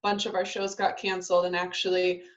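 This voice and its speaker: A woman's voice: lowish voice, little pitch movement, quick pace, lo-fi sound. A young adult woman, a ballet dancer born in Italy and raised in Southern California, chatting casually in an interview with lots of ums and restarts. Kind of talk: casual interview over video call.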